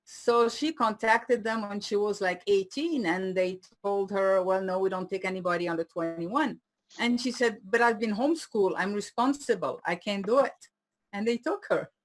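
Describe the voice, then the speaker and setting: A woman in her sixties speaks English with a French-Swiss accent, its vowels shaded by years spent in India. She chats in a playful, easygoing way and laughs readily. Her voice sits at an ordinary middle pitch. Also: normal speed, rough recording. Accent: French-speaking Swiss with Indian-learned English. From Switzerland. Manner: chatty, laughs easily, playful. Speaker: guest. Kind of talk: casual interview chat.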